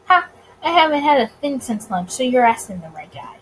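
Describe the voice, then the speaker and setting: A flustered but eager 1920s detective, voiced by a woman, talks casually and bluntly in a visual novel. The pitch sits high, with clear background noise. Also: very animated, brisk, low-quality recording.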